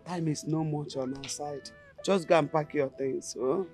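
A woman's voice: deep voice, steady tone, normal speed, mellow, faint music behind. An actress with a Nigerian accent playing a bossy, protective, emotional village mother in a film drama. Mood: warm, instructing. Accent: Nigerian Igbo-language region. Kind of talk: Nollywood-style film drama acting.

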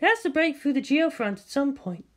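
A woman reader acts out a female military officer in an audiobook. Her voice sits high, with an earnest, pleading urgency. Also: big pitch swings, brisk. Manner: earnest, urging.

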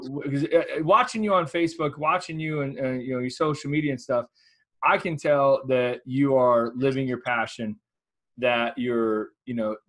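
A man in his forties hosting an interview over a video call, asking halting questions that are full of restarts. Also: mid-range voice, a bit bright. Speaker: interview host. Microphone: webcam on a video call.

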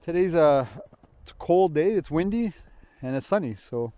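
A man's voice: fairly high pitch, moderate pace, slight background noise. Chatty man on a frozen lake. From Northern Ontario, Canada. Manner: chatty, excited, talks to viewers. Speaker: vlogger. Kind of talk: casual outdoor vlog narration. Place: frozen lake outdoors in wind. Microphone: head-mounted action camera.

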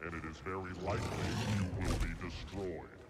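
A heavy war robot from a video game, low and mechanical. Speech comes in blunt, clipped, deadpan phrases with cold menace, over loud noisy ambience. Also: male voice, normal speed. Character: blunt, menacing, literal heavy battle droid. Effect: robotic voice processing.

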